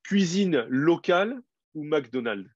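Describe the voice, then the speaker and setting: A man who teaches French, hosting an interview and asking quiz questions clearly and encouragingly. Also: a little high, animated, takes it slowly, dry recording, highs cut off. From France.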